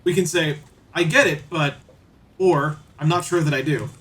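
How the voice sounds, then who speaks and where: Male game streamer: fairly high voice, casual commentary, a little off-mic. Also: normal speed, a bit bright, far from the mic. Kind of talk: casual livestream commentary and reading aloud.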